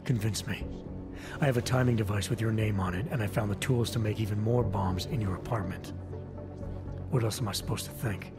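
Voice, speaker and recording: An actor playing a calm, firm male agent questioning a suspect in a video game cutscene. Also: lowish voice, fairly quick, natural voice. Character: calm male augmented agent, stern interrogator. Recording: game cutscene with music behind.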